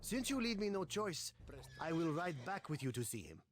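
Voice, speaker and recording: A man's voice: a little high, very animated, normal speed, slight background noise. An actor playing a medieval man addressing a knight in a game, with theatrical delivery. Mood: reluctant.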